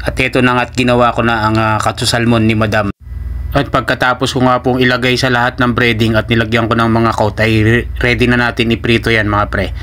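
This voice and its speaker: A man's voice: medium pitch, flat delivery, fairly quick, fairly bright tone. A man with a Filipino accent narrating a casual cooking vlog step by step in a matter-of-fact way, mixing in English terms. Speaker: food vlogger and restaurant sushi cook.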